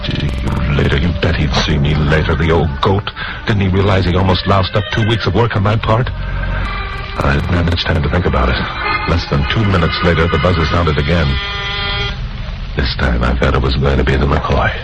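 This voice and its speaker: Low-voiced hard-boiled radio newsman. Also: moderate pace, low-quality recording. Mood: hard-boiled, wry. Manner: hard-boiled, clipped, wry. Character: tough wisecracking male newsman working undercover, narrating his case. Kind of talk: 1940s-50s radio crime drama acting. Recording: old radio recording with music behind.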